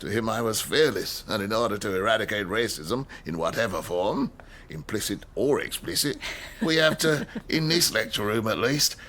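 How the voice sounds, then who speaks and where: An outspoken, middle-aged professor in a radio drama. His delivery is wry, emphatic and rhetorical, with a bright, forceful tone and animated pitch. A faint layer of noise sits behind the voice. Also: normal speed. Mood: wry, confiding. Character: outspoken, wry male history professor, provocative and guarded. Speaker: university lecturer. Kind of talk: radio drama acting, lecturing.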